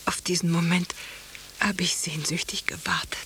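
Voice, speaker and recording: A woman's voice: on the low side, flat delivery, moderate pace, fairly bright tone, close to an everyday voice. An actress playing a sultry, scheming married woman in a literary radio play, formal and languid, on a slightly hissy recording. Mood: seductive.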